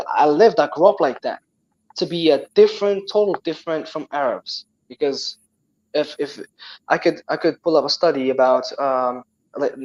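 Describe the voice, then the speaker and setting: A young man with a Moroccan accent and Tamazight as his first language talks in a casual chat. He is animated and often restarts and repeats words. His voice sits a bit high for a man. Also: poor audio. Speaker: guest.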